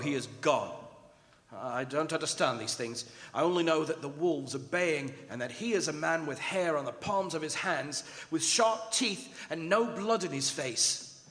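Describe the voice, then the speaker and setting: A man playing a desperate young English gentleman prisoner, narrating his diary with dramatic dread in a radio drama. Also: medium pitch, lightly acted. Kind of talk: old-time radio drama acting on stage. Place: performing arts center stage. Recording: echoing theatre hall, music behind.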